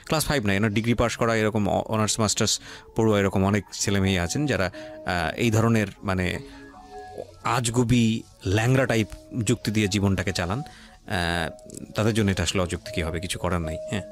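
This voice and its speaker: A man's voice: fairly low pitch, steady tone, fairly quick, long pauses, soft background music. A man with a Bangladeshi accent hosting a radio interview, commenting emphatically and with strong opinions, dropping English phrases into his talk. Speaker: radio show host.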